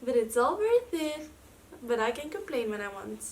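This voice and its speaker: A woman's voice: fairly high pitch, big pitch swings, moderate pace. A young woman with a Dutch accent, chatting casually at home in a vlog, with lots of fillers. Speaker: vlogger. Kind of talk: casual chat. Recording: slightly distant mic with faint room noise.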